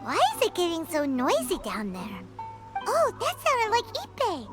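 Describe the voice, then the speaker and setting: Tiny squeaky game fairy sidekick, super high, bouncy up and down, chirpy, music behind. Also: female voice, huge pitch swings, normal speed, full character voice. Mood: curious, puzzled. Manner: refers to self in third person. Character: small chirpy childlike fairy companion, eager guide. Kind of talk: video game voice acting.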